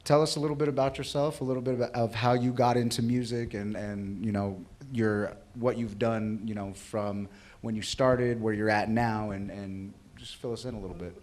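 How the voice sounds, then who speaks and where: Easygoing low-voiced male host. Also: fairly even intonation, a little mellow. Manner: easygoing, halting questions. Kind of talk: interviewing guests.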